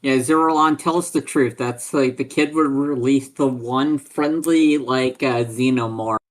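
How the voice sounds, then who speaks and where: A man with a medium-pitched voice takes part in a tabletop game as a player. He talks casually and informally, with a jokey manner. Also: steady tone, unhurried, low-quality recording.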